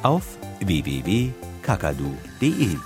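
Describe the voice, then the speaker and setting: A man's voice: sits low, huge pitch swings, measured pace, music in the background. A man reading a scripted podcast promo as an announcer.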